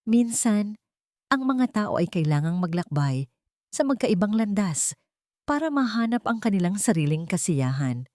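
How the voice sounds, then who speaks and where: A woman narrator voicing a gentle, earnest mother comforting her children in a story. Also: mid-range voice, sing-song, normal speed, dry recording, studio quality, close to an everyday voice. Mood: tender, resolute. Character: tender, resolute young mother comforting her small children.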